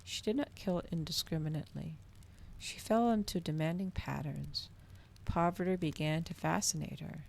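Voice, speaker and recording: A woman reads a story in a cozy ASMR style. Her voice is low-pitched and noticeably creaky, with a soft delivery that drifts toward whispering. There is faint noise behind her. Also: moderate pace. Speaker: streamer reading a novel aloud.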